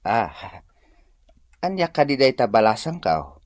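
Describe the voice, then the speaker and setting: An actor playing a humble, grateful old farmer uncle in an Ilocano radio drama, sighing and gentle, with an Ilocano accent. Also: male voice, mid-range voice, big pitch swings, measured pace, dry recording. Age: older.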